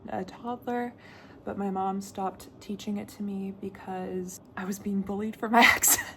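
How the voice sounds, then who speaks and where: Chatty young woman with vocal fry. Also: medium pitch, little pitch movement, moderate pace, background noise. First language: Danish. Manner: chatty, talks on and on, laughs often. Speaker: book vlogger. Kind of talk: casual chat to camera.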